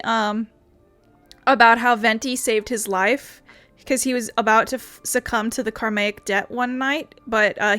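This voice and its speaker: A woman with a fairly high voice commentates casually on a game she is playing. She talks as a streamer would, in an informal way. Faint game music sits behind her. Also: fairly bright tone, no room sound. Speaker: gaming streamer. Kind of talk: casual let's-play commentary.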